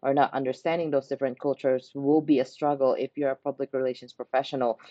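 A young woman from the Philippines, speaking in an unscripted, reflective way. She pauses often to search for her words. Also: rumbling low, level tone, dark tone, dry recording, lo-fi sound. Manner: unhurried, pausing to search for words. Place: small indoor room by a window.

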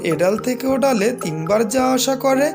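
A woman's voice: high voice, expressive, brisk. A woman reading scripted narration for a children's story. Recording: music behind the narration. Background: clear music.

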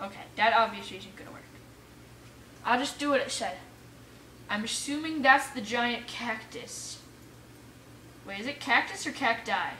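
Female let's player, fairly high and excitable, casual self-talk, echoey room with faint noise. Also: normal speed, long pauses. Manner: excitable, exclaiming and muttering to self. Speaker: gamer playing an adventure map for viewers. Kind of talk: casual let's play commentary. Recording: roomy echo, distant mic, faint noise.

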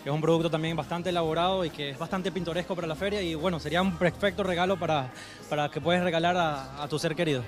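A man's voice: fairly high pitch, steady tone, fast talker. A man with a Chilean accent, an event organizer answering a reporter in an agreeable, eager way. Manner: eager, agreeable, repeats "claro". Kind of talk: on-camera promo interview. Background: background music.

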